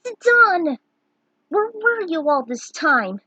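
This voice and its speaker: A woman's voice: very high voice, very animated, takes it slowly, pushed and bright, dry recording, muffled recording, voice pushed up, full character voice. A woman voicing an affectionate, indignant young princess, exclaiming emphatically in a story. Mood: astonished.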